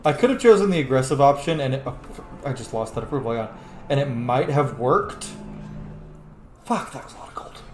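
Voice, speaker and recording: Male streamer, casual and sweary, animated pitch, echoey room with faint noise. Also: brisk, faint music behind. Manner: sweary, chatty. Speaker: game streamer. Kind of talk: casual gameplay commentary.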